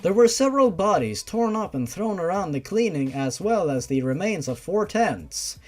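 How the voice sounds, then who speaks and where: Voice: mid-range voice, big pitch swings, moderate pace. A narrator reading a fan fiction story aloud, breaking off into sarcastic, sweary asides.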